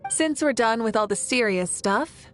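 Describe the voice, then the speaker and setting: A woman's voice: fairly high pitch, animated, a little slow, a bit bright, faint music behind. A woman playing a confident, playful warrior leader in a video game, with an assured, teasing manner.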